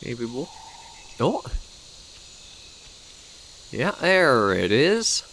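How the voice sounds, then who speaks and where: A man's voice: medium pitch, very animated, very slow, fairly bright tone, a little hiss or hum. A man with a Canadian accent who is coming down with a cold, giving casual, rambling gameplay commentary as he thinks aloud. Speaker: gaming video host.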